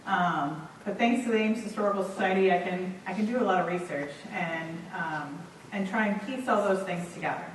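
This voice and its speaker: A woman giving a public talk as guest speaker, relaxed and conversational. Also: fairly low pitch, moderate pace, a little hiss or hum. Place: auditorium. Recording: echoey hall, distant mic.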